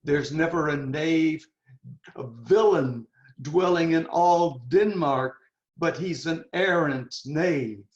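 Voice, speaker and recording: A man performing an anguished, intense young prince in a Shakespearean dramatic reading, declaiming haltingly and dwelling on words. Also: a little high. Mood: wry, intense. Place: indoors on a video call. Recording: roomy, echoing, muffled video call audio.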